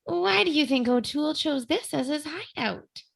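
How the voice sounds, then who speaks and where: A woman's voice: high-pitched, expressive, moderate pace, creaky, fairly bright tone, heavily acted. A woman playing a jittery, wisecracking burglar, the younger of two bickering sisters, in a comic radio play. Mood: uneasy. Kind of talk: comic old-time radio play acting.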